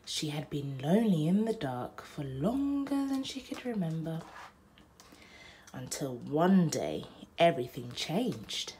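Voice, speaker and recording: A young woman narrating a children's bedtime picture book aloud, with deliberate, dramatic phrasing. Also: sits low, big pitch swings, a little mellow, a little hiss or hum, rough recording.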